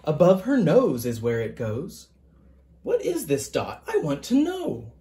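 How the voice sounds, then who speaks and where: A teacher reading a children's picture book aloud in a gentle, deliberate, expressive sing-song way. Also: mid-range voice, a little hiss or hum.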